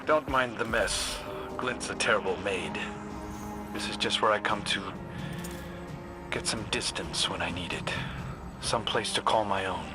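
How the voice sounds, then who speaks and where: Man acting a low, guarded melancholy scout. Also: a little slow, long pauses, lightly acted. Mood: wistful, reflective. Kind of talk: video game voice acting. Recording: loud music behind the voice. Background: game score.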